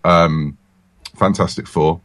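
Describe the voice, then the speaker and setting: A man's voice: sits low, fairly even intonation, slow pace, no echo. An English man in his forties, a writer being interviewed, chatting casually and enthusiastically. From England. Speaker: comic book writer, guest.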